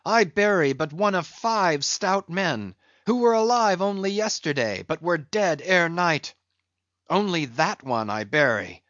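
A hollow-cheeked whaling captain, bitter with grief, voiced by a male reader for a storybook. He speaks in solemn, archaic declamation, slow and raised in pitch, recorded dry. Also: animated, a bit bright, dry recording, muffled recording. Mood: bitter, sorrowful.

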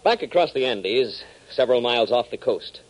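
A man's voice: medium pitch, animated, normal speed. An American man narrating a radio adventure serial in a dramatic, scripted 1940s style. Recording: faintly noisy 1940s radio recording.

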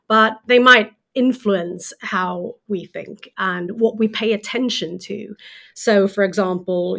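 A woman's voice: mid-range voice, creaky, fairly bright tone. A woman from Sweden, a native Swedish speaker, explaining ideas as a guest author in a casual podcast chat with frequent fillers. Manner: thoughtful, explains with hesitant fillers.

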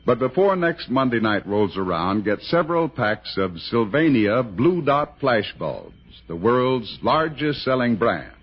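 A man's voice: medium pitch, very animated, unhurried, hardly any pauses, husky. An American man reading scripted announcements and narration in the style of 1960s radio. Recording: lo-fi vintage radio broadcast.

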